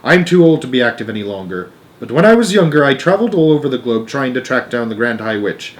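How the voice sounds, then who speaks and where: A man voicing a stern old grandmother who explains things gravely and with authority in a storybook reading. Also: mid-range voice, big pitch swings, quick pace, lightly acted. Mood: grave, knowing. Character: stern, knowing old grandmother, retired witch hunter.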